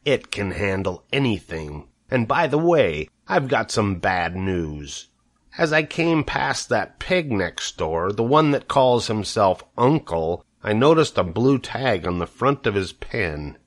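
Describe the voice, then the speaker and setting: A man voicing a gloating, greedy male rat with a husky, slow drawl. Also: on the low side, big pitch swings. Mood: sneering.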